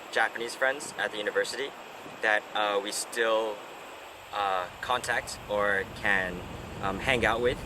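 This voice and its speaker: Young man, low voice, noisy street. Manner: hesitant, many fillers and restarts. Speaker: host. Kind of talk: casual interview chat.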